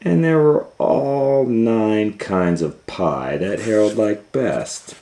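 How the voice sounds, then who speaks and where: Man, very low voice, unhurried pace, children's storybook reading, informal musing delivery. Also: dark tone. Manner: improvised asides and musing hums. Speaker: storytime host and reader.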